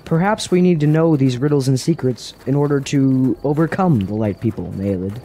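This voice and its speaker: An actor playing a sly, teasing dreamer in a fantasy radio drama, with a sardonic, insinuating manner. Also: male voice, medium pitch, normal speed, dark tone, natural voice. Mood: sly, mocking. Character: sly, teasing dreamer who needles the warrior prince.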